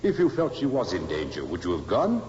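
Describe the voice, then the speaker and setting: Stern, insistent defense counsel, 1950s radio drama, muffled and hissy old recording. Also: male voice, low-pitched, huge pitch swings, fairly quick, darkish tone, long reverb tail. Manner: formal, pressing, insistent. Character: stern, relentless male defense barrister cross-examining witnesses.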